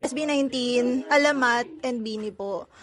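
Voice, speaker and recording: A young woman from the Philippines answers interview questions, slipping between English and Filipino and adding polite particles. Her voice sits a bit high. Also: moderate pace, a bit bright. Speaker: group member.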